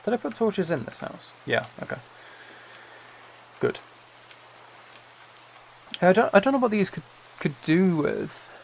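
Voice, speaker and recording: A soft-spoken man livestreaming, chatting in a relaxed way and musing aloud as he plays a game. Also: sits high, a little slow, creaky, long pauses, a little hiss or hum. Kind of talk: relaxed chat while playing a building game.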